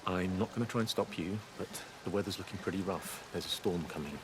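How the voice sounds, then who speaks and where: A man acting a steady, concerned village man in a story-driven game. He speaks low and quickly, with noticeable ambient noise around him. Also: natural voice. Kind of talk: naturalistic video game voice acting.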